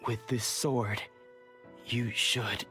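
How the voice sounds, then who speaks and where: An actor playing a troubled, earnest swordsman who has lost his memory, speaking in a halting, ruminative way for a video game. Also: mid-range voice, fairly even intonation, bright, forceful tone, faint music behind. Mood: confused, sorrowful.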